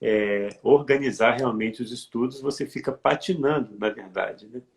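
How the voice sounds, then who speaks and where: A man's voice: mid-range voice, moderate pace, creaky. A man hosting an interview, asking short questions and adding brief agreeing remarks. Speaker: host. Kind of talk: casual interview.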